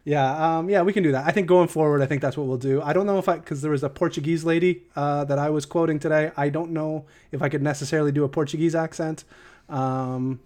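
Slightly high-voiced man, rambling co-host. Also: steady tone, fast, darkish tone. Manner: rambling, hesitant, restarts often, joking. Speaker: podcast co-host. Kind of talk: casual chat.

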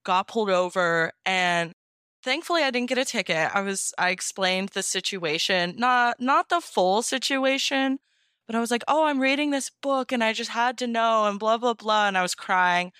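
A woman's voice: a little high, moderate pace, fairly bright tone, clean tone, dry recording, studio quality. A woman guest chatting casually and telling stories in a gushing, self-mocking way. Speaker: interview guest, fan fiction audio reader. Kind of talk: casual animated storytelling.

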